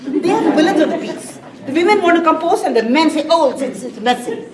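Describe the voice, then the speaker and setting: A woman's voice: high voice, very animated, fast talker, a crowd in the background. A woman speaker giving a chatty, self-deprecating talk on composting in a library room. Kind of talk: informal presentation. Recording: echoey room, far from the mic, noisy.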